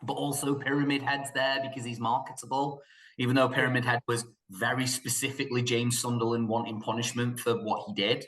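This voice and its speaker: A man giving opinions in a casual film discussion, animated and joking, sometimes slipping into mock quotes. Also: medium pitch, fairly quick, rough recording. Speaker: podcast panelist reviewing films.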